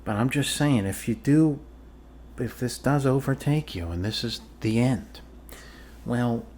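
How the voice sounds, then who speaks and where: A man's voice: mid-range voice, a little slow, darkish tone, slight background noise. A man improvising an ASMR roleplay as a goofy, reassuring doctor, rambling casually and joking as he goes.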